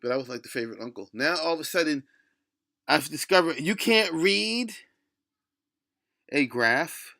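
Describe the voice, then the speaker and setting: A middle-aged man with a lisp talks in a bright, forceful voice edged with gravelly creak. He rambles through a blunt video-blog rant, digressing, cursing and restarting his thoughts. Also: fairly high pitch, animated, moderate pace, long pauses. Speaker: solo video blogger. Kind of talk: rambling opinion rant to camera.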